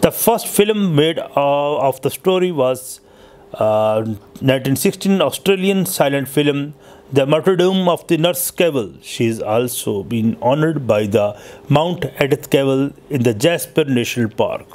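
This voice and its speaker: A man reading a scripted history narration as host, in a halting, stumbling way. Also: medium pitch, animated, darkish tone.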